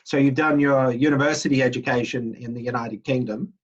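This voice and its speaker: Husky older man interviewing on video call. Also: mid-range voice, fairly even intonation, fairly quick. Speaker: interviewer, university associate dean. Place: small home room, indoors. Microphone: computer microphone on video call. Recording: dull, lo-fi video call audio.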